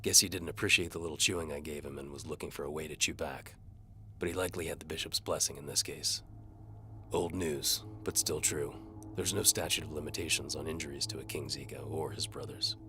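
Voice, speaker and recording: Very deep hardboiled male narrator. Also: fairly even intonation, fairly quick, pushed and bright, slight background noise. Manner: world-weary, wry. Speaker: narrator and lead actor. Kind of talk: hardboiled first-person audio drama narration.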